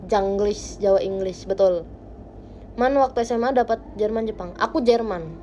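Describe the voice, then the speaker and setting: A young woman hosting a casual livestream from her bedroom, chatting with viewers into a phone held close. She talks playfully, with quiet murmurs that jump into bright, lively bursts. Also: medium pitch, moderate pace, a little hiss or hum. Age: young adult. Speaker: idol livestream host. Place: small bright bedroom indoors. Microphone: phone camera close to face.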